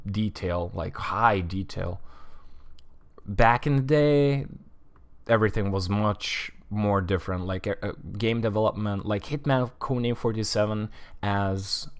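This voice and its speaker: A man in his twenties with a Lithuanian accent, a streamer chatting casually and rambling as he plays, often restarting words and saying "like". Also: lowish voice. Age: 20s. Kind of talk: casual chat while streaming a game.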